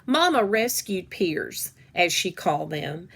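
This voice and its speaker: A woman reading a children's story aloud in a measured, deliberate storytelling way. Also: lowish voice, very animated, vocal fry, a bit bright, breathy edge. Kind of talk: read-aloud story narration.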